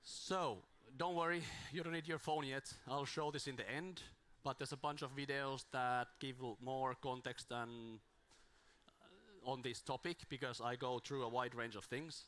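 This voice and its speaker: A man's voice: mid-range voice, fairly even intonation, normal speed, a bit bright. A man with as his giving an informal, self-deprecating conference talk on a handheld mic in a small room, his voice strained from talking too much. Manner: self-deprecating, rambling with restarts. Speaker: conference speaker.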